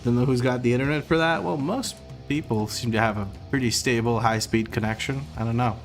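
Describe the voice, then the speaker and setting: A man with an American accent chats loosely with his viewers while he plays, reading their messages aloud, stumbling over his words and starting sentences over. His voice sits at an ordinary middle pitch, with faint music playing behind him. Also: fairly quick. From Croatia. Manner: relaxed, rambling, reads chat aloud, stumbles and restarts. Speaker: streamer. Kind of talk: casual chat with viewers while gaming.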